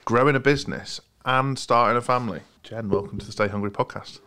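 A man hosting a podcast, relaxed and jokey, asking questions with many fillers. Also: mid-range voice, fast talker, clean tone. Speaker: podcast host. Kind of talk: casual podcast interview.

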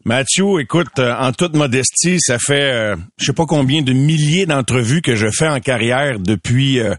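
A man's voice: medium pitch, moderate pace, dry recording, studio quality. An older man, interviewing an athlete with long, wordy, enthusiastic questions. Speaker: sports interviewer. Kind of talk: radio sports interview.

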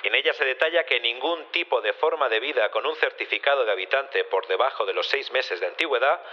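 A man's voice: mid-range voice, lively intonation, fast, lightly acted. Man playing a formal judge, muffled sound. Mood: formal, stern. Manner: formal, official, reading out rulings. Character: formal male judge presiding over a trial. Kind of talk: Spanish audio fiction acting.

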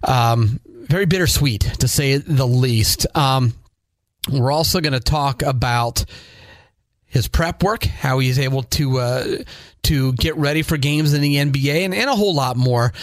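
A man's voice: mid-range voice, unhurried, dry recording. American male, forties, podcast host, conversational interview style, mid-range voice, dry recording. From Northeast Ohio, United States. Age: 40s. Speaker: podcast host, high school sports broadcaster.

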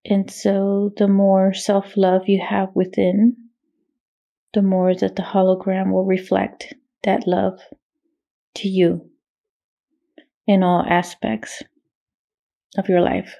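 A woman leading a guided meditation in a soothing, measured way, with long pauses and a gravelly, creaky voice. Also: medium pitch, monotone, mellow. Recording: meditation music with singing bowl behind. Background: meditation music, singing bowl tones.

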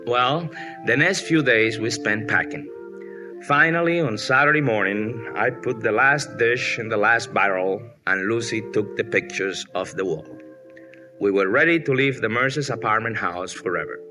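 A man's voice: fairly low pitch, normal speed, close to an everyday voice. An actor playing a husband who narrates his domestic misadventures in a 1950s radio comedy. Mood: matter-of-fact narration. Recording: 1950s radio broadcast, music, audience noise.

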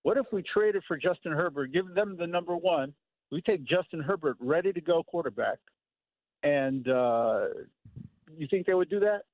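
A man's voice: fairly high pitch, expressive, moderate pace, no room sound. A man calling in to a radio show over the phone, chatting about sports in an informal, chummy way. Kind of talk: casual sports chat. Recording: tinny narrow phone line.

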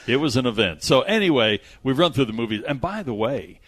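A man's voice: mid-range voice, very animated, a little fast. An American man hosting a radio show about movies, chatting in a casual, jokey and rambling way. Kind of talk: casual movie review chat.

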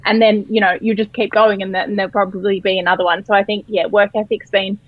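A woman with an Australian accent and a croaky voice, a company co-founder chatting as a podcast guest. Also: medium pitch, flat delivery, quick pace, no room sound, highs cut off. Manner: chatty, upbeat, repeats words. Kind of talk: casual podcast interview.

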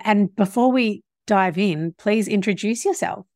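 A woman's voice: mid-range voice, a little slow, darkish tone, dry recording. Woman, podcast host, bubbly, encouraging, dry close sound. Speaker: podcast host and marketing coach. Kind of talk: scripted intro, then casual interview chat.

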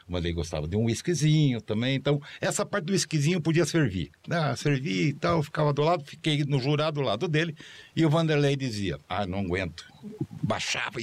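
An older man and former show producer, recounting stories in a rambling way with slow, low asides. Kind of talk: anecdotal storytelling.

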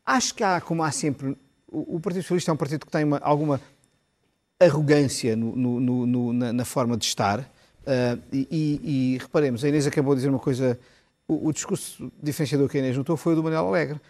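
A man, arguing as a commentator in a televised panel debate, talking quickly with many restarts and repeated words. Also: mid-range voice, darkish tone. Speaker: political commentator.

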